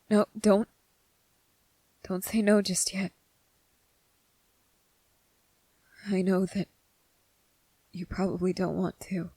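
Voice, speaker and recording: A woman voicing a gentle, earnest young male soldier in a soft-spoken, halting ASMR roleplay. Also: on the low side, dry recording. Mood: earnest, reassuring. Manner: hesitant, halting, repeating phrases, earnest.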